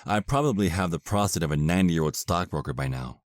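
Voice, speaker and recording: A man playing a polite, seductive vampire husband in an ASMR roleplay drama, often dropping into a hushed, hypnotic manner. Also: sits low, expressive, quick pace, no room sound, clean studio sound. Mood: weary, exasperated.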